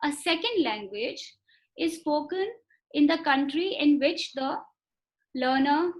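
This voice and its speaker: A woman giving a webinar lecture over a video call. She talks in a measured way, often repeating words for emphasis and pausing on fillers. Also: high-pitched, animated, low-quality recording. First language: not Marathi. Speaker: webinar speaker on foreign languages. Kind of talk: explanatory lecture.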